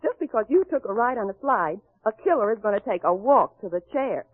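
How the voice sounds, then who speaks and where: An actress playing a sassy, wry detective's sidekick in an old-time radio crime drama. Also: female voice, a little high, lively intonation, brisk, dry recording. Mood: curious, wry. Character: sassy quick-witted female sidekick of a detective. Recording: muffled vintage radio recording.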